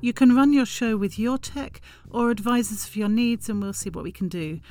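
A woman's voice: on the high side, animated, normal speed, mellow. A woman co-hosting a podcast, presenting event announcements in a conversational promotional style. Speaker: podcast host and festival organiser.

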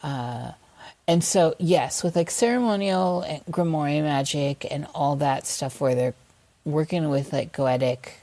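A woman's voice: low voice, measured pace, warm tone, no room sound. A woman guest on a talk podcast, speaking thoughtfully and searching for words. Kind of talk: casual interview chat.

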